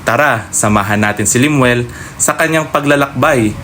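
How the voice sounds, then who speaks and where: A man's voice: medium pitch, normal speed, sharp tone, slight background noise. A man narrating a scripted radio drama as its host, speaking to listeners directly in a teasing way.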